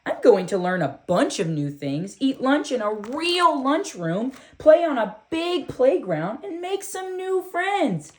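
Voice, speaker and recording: A woman's voice: high voice, takes it slowly, few pauses, pitched above the performer's own voice, heavily acted. A woman voicing a spirited, eager little schoolgirl in a storybook, with emphatic delivery. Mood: excited, confident. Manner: excited, emphatic.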